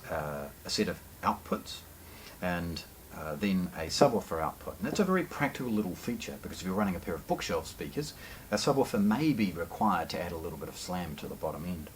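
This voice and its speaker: A man giving an unscripted product walkthrough in a measured, explanatory, slightly formal way, as a shop presenter. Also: deep voice, expressive, a little hiss or hum, low-quality recording. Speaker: shop presenter hosting product unboxing video.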